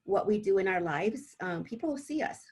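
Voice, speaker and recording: A woman's voice: medium pitch, lively intonation, fairly quick, darkish tone, breathy edge. A woman from El Salvador, a native Spanish speaker, talking informally as a panelist and sharing personal experience. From born in El Salvador.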